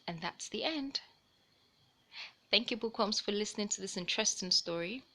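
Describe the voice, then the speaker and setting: A woman hosting a children's read-aloud, telling a storybook tale in an expressive, deliberate way. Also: medium pitch. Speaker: host reading stories aloud for children.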